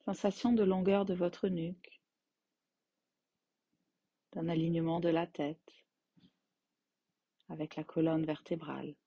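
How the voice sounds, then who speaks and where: A woman guiding a yoga nidra relaxation as its teacher, in gentle, unhurried phrases with long pauses. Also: on the low side, flat delivery, husky, dry recording, muffled recording. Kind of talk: guided meditation, slow soothing instruction.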